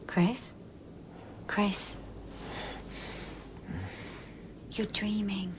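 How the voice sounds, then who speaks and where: A fragile, anxious woman in love in an intimate radio drama. She speaks very slowly and evenly, soft and nearly whispering, with ambient noise clearly behind her. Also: mid-range voice, level tone. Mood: concerned, searching. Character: fragile, anxious young female lover, softly searching.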